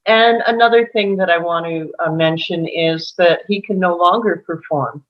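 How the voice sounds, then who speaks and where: A woman talking in an unhurried way, with soft laughs slipped between her phrases, over a video call. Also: fairly low pitch. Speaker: table read participant. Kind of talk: casual panel discussion. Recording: muffled lo-fi mic.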